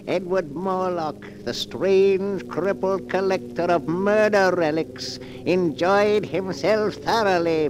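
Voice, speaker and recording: A man's voice: fairly high pitch, low-quality recording. A man narrating a scripted radio drama in a dramatic 1940s style. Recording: loud music under the voice.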